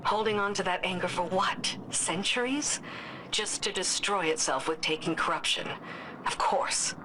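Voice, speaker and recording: A woman's voice: lowish voice, moderate pace, lightly acted. A woman playing a philosophical, questioning character in a video game, musing formally. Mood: scornful. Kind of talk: dramatic video game cutscene acting. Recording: clearly noisy ambience behind the voice.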